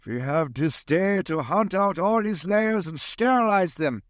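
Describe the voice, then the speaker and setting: An actor playing a grave, resolute professor who leads vampire hunters, declaiming in a vintage radio drama style. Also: male voice, sits high, lively intonation, dry recording, clean studio sound. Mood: resolute, urgent. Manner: declamatory, portentous, measured phrasing.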